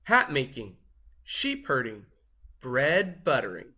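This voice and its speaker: A man voicing a boastful, show-off cheese in a theatrical, grandiose storybook read-aloud with drawn-out emphasis. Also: mid-range voice, huge pitch swings, sharp tone, exaggerated voice. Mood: astonished, indignant.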